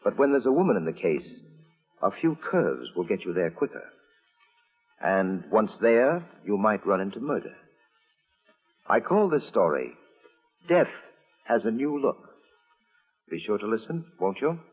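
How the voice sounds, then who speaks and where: A sly mystery storyteller. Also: male voice, on the low side, big pitch swings, unhurried, pauses often, soft background music, full character voice. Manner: teasing, insinuating delivery. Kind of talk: 1940s radio drama introduction. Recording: husky lo-fi vintage recording with long reverb.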